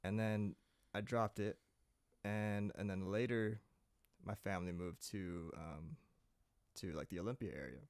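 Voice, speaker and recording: A young man from Saipan, a singer-songwriter guest, telling his story in a relaxed, rambling way with many fillers. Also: low-pitched, a little slow, long pauses, smooth, no room sound. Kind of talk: casual interview chat.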